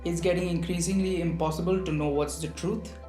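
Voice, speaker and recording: A man's voice: a little high, steady tone, a little fast, mellow. A man hosting a video essay, narrating in a conversational storytelling way and addressing the viewer directly. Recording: roomy voice with background music.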